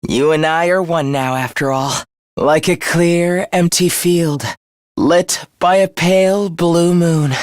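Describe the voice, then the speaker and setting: A man's voice: fairly high pitch, steady tone, slow, no echo, clean studio sound. A male actor voicing a sadistic young male villain in a video game, taunting and theatrical with a mockingly polite, obsessive manner. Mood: gloating, obsessive. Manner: taunting, theatrical, mock-cheerful.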